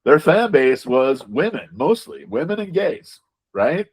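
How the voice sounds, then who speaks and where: A man's voice: on the high side, sing-song, unhurried, slightly rough. A man giving conversational interview answers as a game designer, emphatic, repeating words and often checking in with rhetorical questions.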